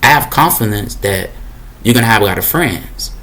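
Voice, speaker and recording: A young man with a deep voice giving a rambling motivational monologue, casual and repetitive with slang fillers. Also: lively intonation, moderate pace, fairly bright tone.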